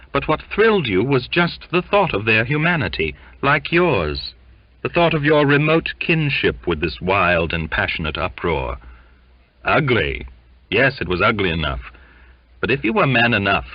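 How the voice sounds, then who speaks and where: A man narrating a literary audiobook in a brooding, theatrical manner with deliberate phrasing. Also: lowish voice, dry recording. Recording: dull, lo-fi audio.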